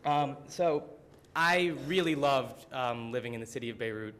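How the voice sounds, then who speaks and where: A man's voice: mid-range voice, normal speed, fairly bright tone. A young man, a student presenter, telling humorous stories at a symposium in a casual way, full of "like" fillers. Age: young adult. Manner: casual, many "like" fillers, laughing. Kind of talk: humorous storytelling talk. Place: college symposium room.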